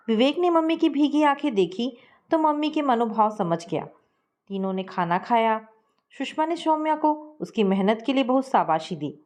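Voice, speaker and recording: A woman reading a family moral story aloud in a steady, tender narrating manner. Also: mid-range voice.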